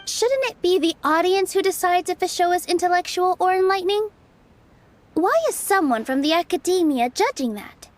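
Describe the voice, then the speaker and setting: High-voiced, earnest young performer. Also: female voice, moderate pace. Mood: earnest, resolute. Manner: sincere, careful reasoning. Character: earnest gentle young female theater dancer. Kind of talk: video game voice acting.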